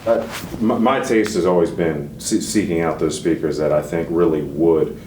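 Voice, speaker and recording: A man's voice: sits low, normal speed, far from the mic. A man interviewing in a casual, agreeable and encouraging way, chatting freely. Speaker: audio show interviewer. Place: hotel room at an audio show. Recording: roomy, off-mic, faint background noise.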